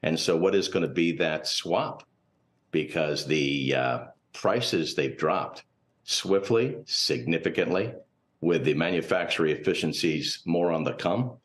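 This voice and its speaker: A man speaking as a guest financial analyst, giving unscripted, cautious and analytical commentary in a TV interview. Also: very deep voice. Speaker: portfolio manager and finance professor, guest analyst.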